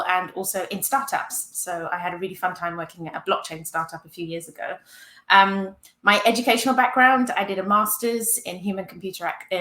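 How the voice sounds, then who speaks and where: A British woman from the UK introducing herself as a panelist on a video call, with relaxed, self-deprecating asides. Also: medium pitch, reverberant. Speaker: panelist, UX director.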